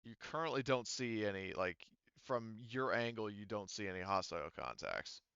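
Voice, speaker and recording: Gravelly low-voiced man running a game. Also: lively intonation, moderate pace, fairly bright tone, dry recording, dull audio. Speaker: game master. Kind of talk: casual tabletop game narration.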